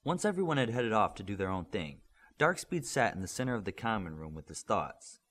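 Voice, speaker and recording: A man narrates superhero fiction for an audiobook. His voice sits low, and his pitch moves widely as he reads the story. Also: very animated, brisk, fairly bright tone. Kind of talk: scripted fiction narration, reading a superhero novel.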